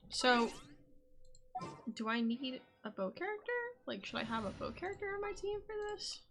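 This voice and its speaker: High-pitched lively woman game streamer. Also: moderate pace, faint music behind. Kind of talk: casual livestream chat.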